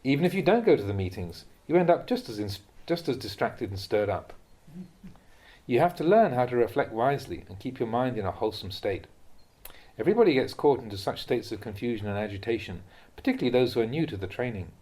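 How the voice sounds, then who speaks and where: Man, medium-pitched voice, reading dharma teachings aloud, measured, instructive. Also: sing-song, darkish tone. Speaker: reader of Buddhist teachings, monk.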